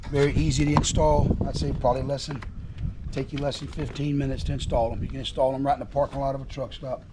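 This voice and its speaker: Hoarse man, casual and eager show-and-tell, noisy outdoor take. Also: mid-range voice, level tone, fast. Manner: enthusiastic, repetitive, folksy. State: hoarse from illness. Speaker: trucking vlogger. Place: outdoors at a house.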